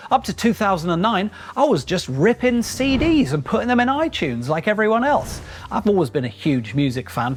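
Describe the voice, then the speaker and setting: A middle-aged man narrating a scripted vlog as host, chatty and self-deprecating, with wry asides. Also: a little high. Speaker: YouTube host.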